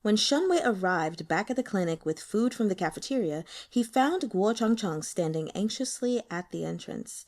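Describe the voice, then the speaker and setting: A woman narrating a story as an audiobook reader, in an expressive scripted style. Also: medium pitch, normal speed, hardly any pauses.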